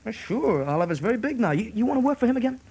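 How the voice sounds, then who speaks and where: A man's voice: extremely high pitch, sing-song, very fast, creaky, darkish tone, slightly rough, full character voice. An actor in a radio play voicing an ambitious young American man, a striving brother who works at a store. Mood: curious, interested.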